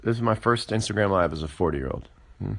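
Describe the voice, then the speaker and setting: A man of forty chatting casually on a phone livestream, musing aloud with wry, deadpan asides. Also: low voice, fast talker, vocal fry. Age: 40s. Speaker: livestream host.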